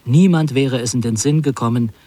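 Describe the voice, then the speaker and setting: A man playing a wry, reflective narrator recalling his wartime youth in literary radio drama, measured and lyrical. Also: mid-range voice, dark tone, natural voice.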